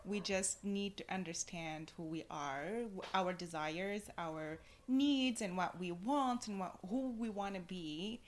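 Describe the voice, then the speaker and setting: Woman from Tunisia, English, slow pace, reflective, earnest. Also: lowish voice. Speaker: podcast guest. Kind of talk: casual podcast interview.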